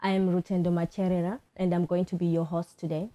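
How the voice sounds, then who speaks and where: A woman's voice: lowish voice, little pitch movement, fast talker, warm tone. A young woman hosting an informal, friendly group chat. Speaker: talk show host.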